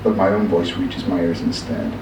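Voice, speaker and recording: A man's voice: low-pitched, flat delivery, normal speed, voice pushed down, natural voice. A man playing an obsessive, boastful aging hunter in a dramatic story read aloud, with pompous, old-fashioned, theatrical diction. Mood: wistful, intent. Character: obsessive, boastful aging male big-game hunter, bald, wistful. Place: live reading in a room. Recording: echoey room from a distance, noisy.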